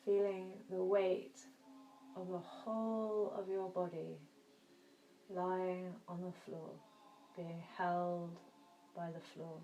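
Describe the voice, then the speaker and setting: A woman teaching yoga nidra, guiding a relaxation meditation in a soft, soothing, gentle manner with long pauses. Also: on the low side, little pitch movement, highs cut off. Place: indoor room. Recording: roomy echo, faint background noise.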